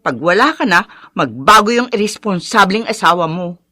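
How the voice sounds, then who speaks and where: Persuasive cousin, frank, bright, animated, Filipino radio drama. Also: female voice, mid-range voice, normal speed, no room sound, studio quality. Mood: urging. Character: frank, persuasive female cousin back from working abroad.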